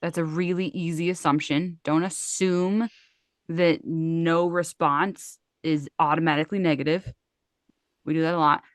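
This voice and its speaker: A woman's voice: deep voice, fairly even intonation, a little slow, no room sound. Chatty woman with a dry, close sound. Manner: chatty, loses her train of thought, laughs easily. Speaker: co-host. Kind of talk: casual chat.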